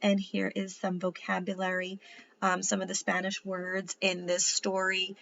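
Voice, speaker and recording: A woman's voice: mid-range voice, completely flat delivery, unhurried, creaky, fairly bright tone, muffled recording, a little hiss or hum. A woman giving a read-aloud lesson for children as a teacher, instructive and asking questions.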